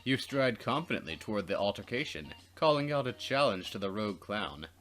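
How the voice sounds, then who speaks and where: A man's voice: fairly low pitch, big pitch swings, fairly quick, a bit bright, faint music behind. A man doing a let's play, narrating dramatically and slipping into casual, jokey banter. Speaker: let's player reading a visual novel aloud.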